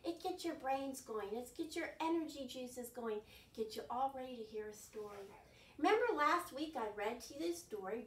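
An older woman leads a children's storytime in a high voice that swings widely up and down. Her delivery is expressive and made for little listeners. The sound is roomy, as if picked up from across a room. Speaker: library storytime host. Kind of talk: children's storytime, reading aloud and singing. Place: carpeted living room at home. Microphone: camera a few feet away. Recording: roomy, distant mic, some background noise.

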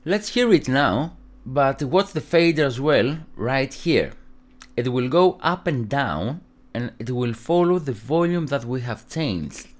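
A young man from Greece with a Greek accent presents an instructional tutorial in halting, non-native English phrasing. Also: mid-range voice, lively intonation. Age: young adult.

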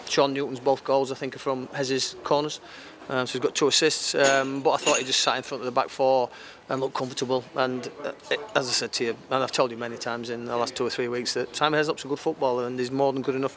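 A man in his fifties giving an unscripted post-match football interview, matter-of-fact and halting, repeating phrases as he goes. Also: medium pitch, fairly even intonation, a bit bright. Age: 50s. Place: outdoors at a football ground at night. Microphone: handheld interview microphone. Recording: clear outdoor background noise.

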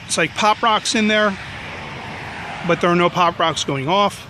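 Playful, mock-dramatic man in his forties, high for a man, handheld outdoor vlog with clear road noise behind. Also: measured pace. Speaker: vlog host. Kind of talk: jokey exploring vlog. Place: outdoors by a road, overcast and drizzly. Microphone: handheld camera at arm's length. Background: passing cars.

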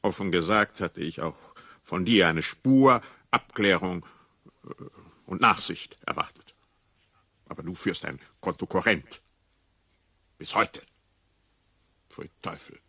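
An actor playing a weary, grumbling older husband in a radio drama, with a gravelly, sour delivery. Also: male voice, deep voice, slow, pauses often, pushed and bright, dry recording, exaggerated voice. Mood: disgusted, weary. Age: middle-aged or older.

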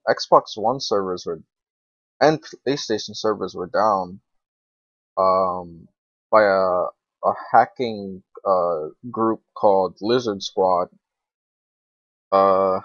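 A man's voice: on the low side, fairly bright tone, no room sound. A sixteen-year-old male gamer giving casual, rambling commentary over gameplay in a hesitant, informal way. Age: teenager, 16. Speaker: gaming video creator. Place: indoors at a computer, screen recording. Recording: dull, lo-fi, dry computer mic.

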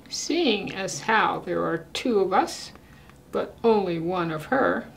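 A bossy, precocious little girl from a storybook, acted out by an older woman, makes her case like a tiny salesman. She talks slowly and pompously, sounding entirely reasonable to herself, with some creak in the voice. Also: medium pitch, a little hiss or hum, heavily acted. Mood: smugly cheerful.

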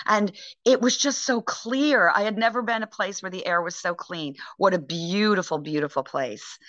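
A middle-aged actress chatting in a rambling, casual way over a video call from home, with many ums and restarts. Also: female voice, fairly low pitch, bright, forceful tone, dry recording. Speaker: actress guest. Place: home room, indoors. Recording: dull lo-fi video call audio.

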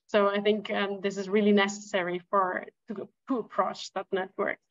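A woman's voice: medium pitch, flat delivery, normal speed. A woman who is a native German speaker, discussing her research informally in an online academic talk. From Germany or German-speaking Europe. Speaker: academic researcher, lecture presenter. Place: indoor room on video call. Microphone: video call. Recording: slightly dull video-call audio.

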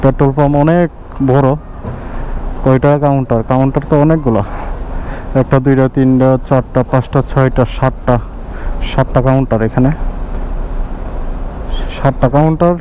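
A man's voice: mid-range voice, steady tone, moderate pace, long pauses, mellow, poor audio. A man, vlogging casually from a motorbike at night and chatting in short questions. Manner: friendly, chatty, asks many short questions. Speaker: motovlogger. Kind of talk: casual vlog narration and chat. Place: outdoors at a petrol station and on a highway at night. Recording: faint traffic noise outdoors.

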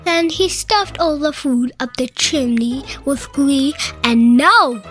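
A woman narrating a children's storybook in an expressive, theatrical read-aloud style. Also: high voice, slow pace. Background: background music.

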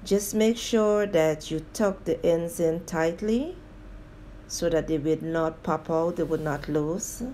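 Home cooking host, woman; lowish mellow voice, steady how-to delivery, faint hiss behind. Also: takes it slowly. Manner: plain, steady instructional tone addressing viewers as guys. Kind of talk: step-by-step recipe narration.

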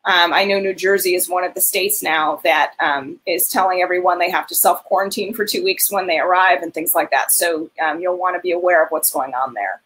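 A woman's voice: lowish voice, steady tone, fairly quick, hardly any pauses, fairly bright tone, rough recording. Earnest woman giving advice on a call. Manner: earnest, direct advising. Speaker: financial aid advisor. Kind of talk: webinar Q&A advice. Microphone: video call.

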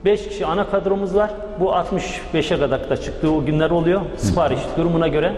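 A man's voice: on the high side, fast talker, a little mellow. A man in his fifties, a business owner, giving plain, brief answers as an interview guest. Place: indoor storeroom with metal shelves of pots. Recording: echoey reverberant room, music behind.

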